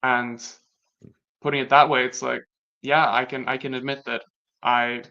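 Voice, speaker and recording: A young man from Latvia whose first language is Latvian, talking through personal experiences in a casual conversation, reflective and halting with many restarts. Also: medium pitch, little pitch movement, sharp tone. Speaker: guest. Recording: dull lo-fi sound.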